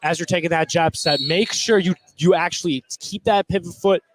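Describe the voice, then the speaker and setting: A man's voice: fairly high pitch, moderate pace. A man giving color commentary and short analysis during a live basketball broadcast.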